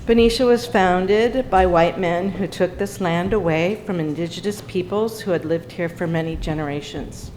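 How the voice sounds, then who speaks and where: A woman's voice: on the low side, moderate pace, few pauses, a little mellow, a little hiss or hum, low-quality recording. A woman running for city council, delivering an earnest prepared statement at a forum.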